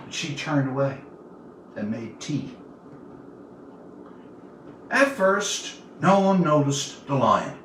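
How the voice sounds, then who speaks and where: A man in his seventies narrates a story with a fairly high voice that swings widely in pitch. He takes his time, setting short phrases apart with deliberate dramatic pauses. The recording is roomy and a little distant from the microphone. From Newfoundland, Canada. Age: 70s. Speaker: author reading own story. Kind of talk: expressive storybook narration, reading aloud. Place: indoor room, livestream reading. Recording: roomy, distant mic with faint noise.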